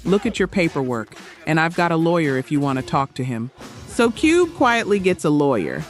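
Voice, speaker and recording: A man's voice: fairly high pitch, big pitch swings, normal speed, soft background music. A man delivering scripted, dramatic storytelling narration, emphatic and speaking directly to the listener. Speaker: narrator of a music history video.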